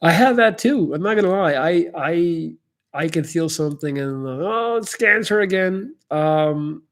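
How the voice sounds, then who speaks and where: A man's voice: a little high, a little mellow. Candid man in loose chat. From Belgium. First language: Dutch. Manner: candid and loose, full of "like" and restarts. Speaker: YouTuber hosting a live Q&A. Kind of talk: casual livestream Q&A chat.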